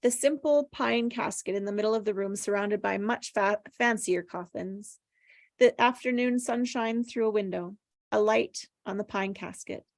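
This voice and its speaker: A woman narrates a script's stage directions at a table read. Her mid-range voice has a noticeable creak, and the recording is close and dry. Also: mid-range voice, normal speed, no echo.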